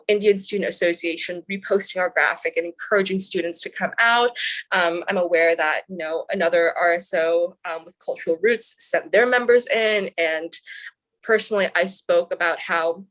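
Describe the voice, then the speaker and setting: A woman's voice: lowish voice, fairly even intonation, normal speed, fairly bright tone, poor audio. A young woman from the San Francisco Bay Area, a student senator, giving earnest, articulate interview answers with frequent "um" fillers. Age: young adult.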